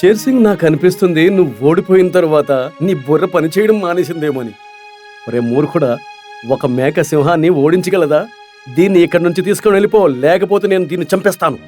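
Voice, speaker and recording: Male actor as cartoon lion villain: arrogant, mocking, boastful, somewhat raised pitch, light music behind. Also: fairly quick, darkish tone, lightly acted. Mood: mocking, angry. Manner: boastful, taunting. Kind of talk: animated fable dialogue.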